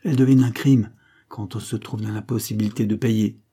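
A man's voice: on the low side, fairly even intonation, a little fast, husky. A man voicing a stern older aristocrat who scornfully accuses his nephew. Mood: stern accusing. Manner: forceful, indignant reproach.

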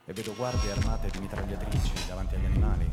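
An actor playing a brooding police android hunter who narrates his own story in a radio drama, halting and intense with deliberate pauses. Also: low voice, steady tone, natural voice. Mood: wary, tense. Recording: echoey hall reverb, loud music underneath. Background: loud live music.

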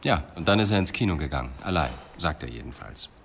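Police detective, radio crime drama, deep voice, pensive, clipped, dryly ironic, noisy background. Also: male voice, expressive, fast talker, vocal fry, a bit bright, exaggerated voice. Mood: probing, pensive.

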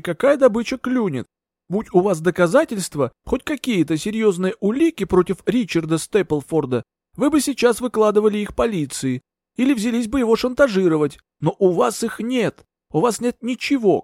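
A scheming older maidservant, as a male reader puts her on, sly and taunting, her words tumbling out in a fevered rush. Her voice sits high and swings widely, recorded close and dry. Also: darkish tone, no room sound.

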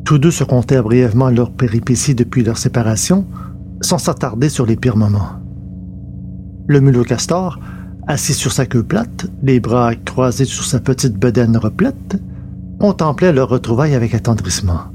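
A man's voice: medium pitch, expressive, moderate pace, background noise. A man narrating a science fiction serial from a script, as a storyteller.

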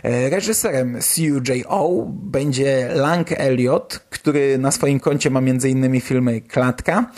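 A man's voice: medium pitch, a little slow, hardly any pauses, darkish tone. A man presenting news on a podcast in a colloquial, enthusiastic way, as its host. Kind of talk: casual news commentary on a podcast.

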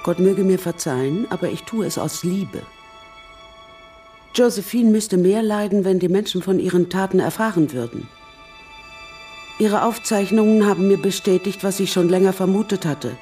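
An actress playing a grave, loving older aunt in a radio drama, solemn and confessional. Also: female voice, mid-range voice, moderate pace, dark tone, recorded from a distance, faint music behind, close to an everyday voice. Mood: solemn resolve. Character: grave, loving older aunt reading her farewell letter.

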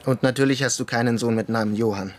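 An actor in a German radio drama playing a suspicious young-republic-era army lieutenant, questioning in a terse, demanding way. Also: male voice, medium pitch, fairly even intonation, fast talker, slight background noise, close to an everyday voice. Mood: interrogating, distrustful.

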